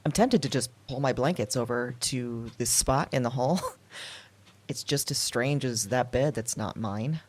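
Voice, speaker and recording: An actress playing a shaken, anxious woman alone, confiding haltingly into a night journal in an audio drama. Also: very deep voice. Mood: uneasy, awed. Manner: hesitant, confiding, halting self-talk. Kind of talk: intimate audio drama monologue. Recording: music under the voice. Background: music.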